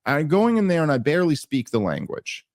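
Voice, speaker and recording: A man's voice: a little high, wildly swooping pitch, brisk. An American man hosting a political podcast, talking casually and sarcastically, full of restarts and fillers. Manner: rambling, many restarts and fillers; sarcastic, exasperated. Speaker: podcast host.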